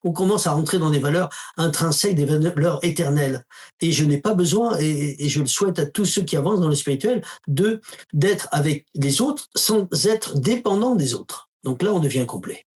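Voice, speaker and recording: A man's voice: fairly high pitch, lively intonation, moderate pace, darkish tone. A man giving an interview as a spiritual teacher, talking casually and telling stories with emphatic asides. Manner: storytelling, acts out quoted dialogue with emphatic asides; uses informal tu to the listener.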